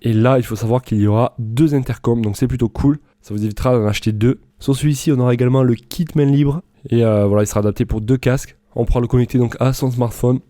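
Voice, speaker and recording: Give the voice of a man doing a casual product review voice-over. His tone is mellow and soft-edged, recorded very dry with no room sound. He explains things informally, as if improvising from loose notes. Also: mid-range voice, fairly even intonation, quick pace, hardly any pauses, no room sound. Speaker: presenter of product comparison videos.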